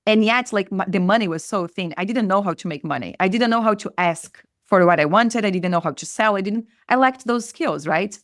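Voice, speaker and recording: A woman's voice: medium pitch, brisk, few pauses, no room sound, clean studio sound. A woman from Brazil with a Brazilian Portuguese accent, a native Portuguese speaker, talking earnestly as a guest on a podcast and repeating phrases for emphasis. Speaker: spiritual mentor guest. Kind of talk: reflective podcast conversation.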